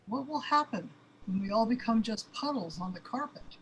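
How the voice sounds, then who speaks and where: A woman's voice: fairly high pitch, animated, moderate pace, a bit bright. A woman playing a panicky, overwhelmed avatar who longs for a real body, in an amateur audio drama. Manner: anxious, complaining, overwrought. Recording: clearly noisy background.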